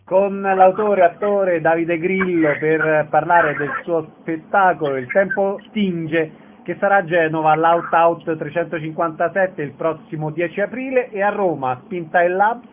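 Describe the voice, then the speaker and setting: A man's voice: a little high, measured pace, hardly any pauses, soft background music. A man hosting a casual radio interview, putting questions to his guests. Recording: narrow phone-like radio sound, faint noise.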